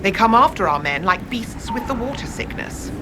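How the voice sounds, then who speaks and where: An actor playing a worried, weary farm horsemaster in a fantasy game, grave and earnest. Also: male voice, high-pitched, big pitch swings, moderate pace. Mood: grave, troubled. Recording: game audio with ambient noise.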